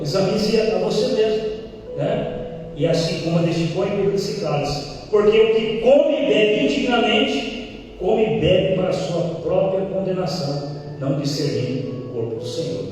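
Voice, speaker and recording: Brazilian pastor preaching loud and emphatic, pretty high voice, way off the mic in a big echoey hall. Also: male voice, distant mic, slight background noise. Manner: emphatic preaching, rising to exclamations and acting out dialogues. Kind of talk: sermon. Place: large church hall.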